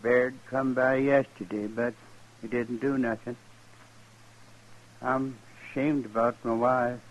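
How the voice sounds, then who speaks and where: A man's voice: mid-range voice, level tone, slow pace, natural voice, poor audio. Dazed, grieving old homesteader, acted by a man. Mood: grieving, ashamed. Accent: American. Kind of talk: old-time radio western acting.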